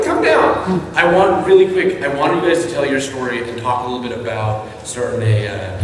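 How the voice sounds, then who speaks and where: A man moderating a panel, hurried and encouraging as he calls on the audience. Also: medium pitch, far from the mic. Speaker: panel host. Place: large convention hall with a live audience. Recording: echoey convention hall, crowd noise.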